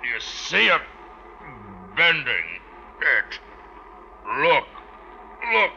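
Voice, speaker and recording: An actor playing a slow-witted, domineering husband in an old-time radio drama, speaking in halting, repeated simple phrases. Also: male voice, a little high, very animated, creaky, bright, forceful tone, heavily acted. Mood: menacing, coaxing. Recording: dull, noisy old radio recording.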